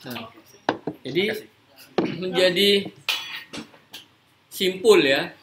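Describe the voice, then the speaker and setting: Man, higher-than-average voice, informal explainer on a noisy live-stream, drops English business words. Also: drawn-out words. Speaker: host, creative agency founder. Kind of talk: casual live talk, explaining. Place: room, live social media broadcast.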